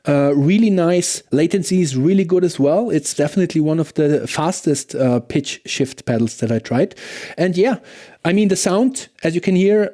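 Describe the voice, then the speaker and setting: A man's voice: fairly high pitch, warm tone. A man hosting a casual product review, talking conversationally and often checking in with a questioning "right?". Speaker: gear reviewer and video host.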